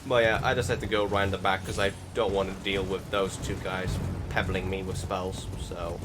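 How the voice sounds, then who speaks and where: A man giving casual, rambling voice-over commentary on recorded gameplay, often halting and restarting his sentences. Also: low voice, little pitch movement, very noisy. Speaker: gaming video host. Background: music behind the voice.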